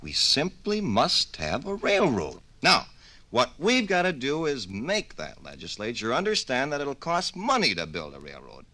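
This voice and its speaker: A man's voice: mid-range voice, wildly swooping pitch, unhurried, bright, forceful tone, heavily acted. A man playing a determined, commanding businessman in a 1950s radio drama, emphatic and persuasive. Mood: urgent, determined.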